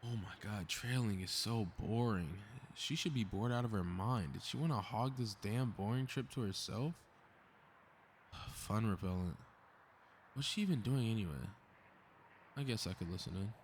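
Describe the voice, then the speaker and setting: A man's voice: on the low side, normal speed, a little hiss or hum, natural voice. A man playing a cocky, snarky superhero in a scripted audio roleplay, muttering to himself with sarcastic exasperation. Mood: bored, grumbling. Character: cocky, snarky, meddling invisible male superhero grumbling to himself.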